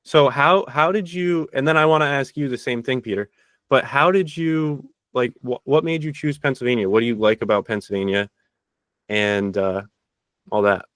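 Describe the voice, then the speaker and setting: A man hosting a podcast, interviewing guests casually with energetic questions and frequent restarts. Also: medium pitch, moderate pace, no echo. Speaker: podcast host.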